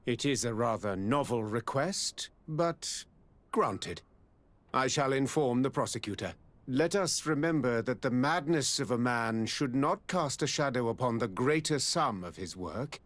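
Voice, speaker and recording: An actor playing a dignified, diplomatic governor in a game, formal and deliberate. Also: male voice, mid-range voice, expressive, fairly bright tone, dry recording. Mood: relieved, gracious.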